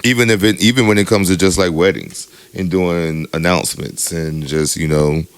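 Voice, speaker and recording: A young adult man with a very deep voice, co-hosting a podcast in casual chat, rambling with lots of fillers. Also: steady tone, moderate pace, smooth, dry recording. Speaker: podcast co-host, DJ.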